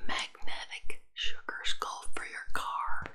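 A woman in her forties whispering softly and breathily in short, halting phrases for ASMR, close to the microphone. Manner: soft, unhurried, halting phrases. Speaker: ASMR video creator. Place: small room indoors with a wood-panel backdrop. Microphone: close tabletop microphone.

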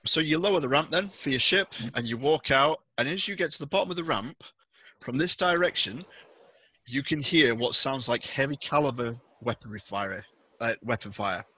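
A man's voice: medium pitch, normal speed, bright, forceful tone, slightly rough, dry recording. A man running an online tabletop role-playing game, describing scenes and answering players casually. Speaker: game master. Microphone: close mic on a group video call.

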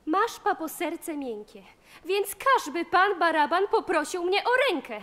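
A spoiled young princess wheedles in rhyme in a fairy-tale audio play. The voice is very high, bright and sharp. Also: female voice, lively intonation, unhurried, heavily acted. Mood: petulant.

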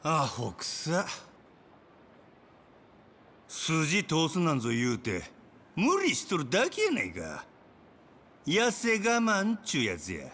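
An actor playing a menacing, manic yakuza brawler in a video game, with a Kansai accent and a drawling, mocking delivery that veers between quiet threat and shouting. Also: male voice, on the high side, wildly swooping pitch, slow, pauses often, a little hiss or hum, exaggerated voice. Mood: scornful, needling. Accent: Kansai Japanese. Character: menacing, manic adult male yakuza brawler, gleefully taunting. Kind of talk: dramatic game cutscene acting. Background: background music.

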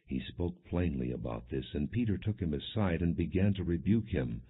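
A man reading scripture as an audiobook narrator, in a solemn, measured, scripted storytelling style. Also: rumbling low, lively intonation, husky. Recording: muffled, narrow phone-like audio.

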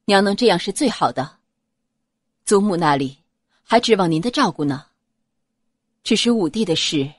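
A woman's voice: mid-range voice, lively intonation, moderate pace, pauses often, husky, no echo, studio quality. A woman voicing a proud, wary fourteen-year-old noble boy with a serious, formal manner in an audiobook. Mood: respectful.

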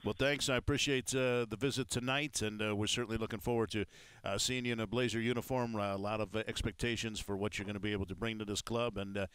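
Middle-aged male sports host, resonant and lowish, dry close studio sound, measured with filler pauses. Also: steady tone, fairly bright tone, smooth, no room sound. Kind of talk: studio interview. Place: TV studio set. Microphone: headset mic.

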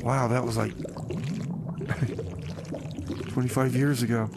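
A man's voice: medium pitch, fairly even intonation, very slow, gravelly, very noisy. A man playing a hapless mechanic and co-host in a comedy radio play, with a hesitant, casual manner. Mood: easygoing announcing. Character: hapless time machine mechanic working at a radio station.

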